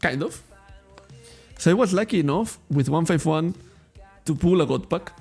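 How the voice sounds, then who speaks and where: A man with a Catalan accent talks loosely on a card shop livestream, often correcting himself and laughing. His voice sits a bit high, with a gravelly edge and a mellow, dark tone. Soft music plays faintly behind him. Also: expressive, moderate pace. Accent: Catalan-accented English. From Spain. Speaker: trading card shop streamer. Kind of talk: casual livestream chat.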